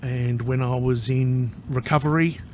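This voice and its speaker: A man's voice: medium pitch, steady tone, measured pace, slight background noise. A man talking straight to camera in a casual vlog, rambling, candid and profane, with an anxious, agitated edge. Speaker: vlogger.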